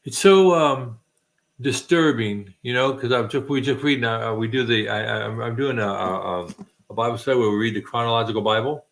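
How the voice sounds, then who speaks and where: A man's voice: mid-range voice, fairly quick, creaky, fairly bright tone. A middle-aged man hosting a casual late-night chat, rambling with many restarts and self-deprecating jokes. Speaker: host.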